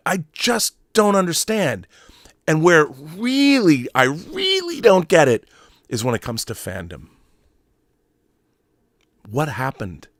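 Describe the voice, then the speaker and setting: Animated middle-aged American man, exasperated and emphatic. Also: medium pitch, no room sound, studio quality. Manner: rambling, acts out imagined dialogue. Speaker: online show host. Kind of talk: casual opinionated monologue rant.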